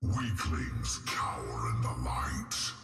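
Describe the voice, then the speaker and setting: Deep undead iron tyrant from a game, menacing, grandiose, huge cave reverb. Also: male voice, sing-song, heavy background noise, exaggerated voice. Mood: menacing contempt. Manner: ominous, grandiose, slow proclamations. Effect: cavernous reverb on the voice. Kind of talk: video game villain voice lines. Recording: long cavernous reverb, game audio.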